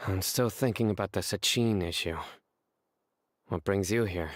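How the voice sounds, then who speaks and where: A man playing an earnest, formal young general in a video game, with deliberate deadpan delivery. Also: deep voice, natural voice. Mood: serious.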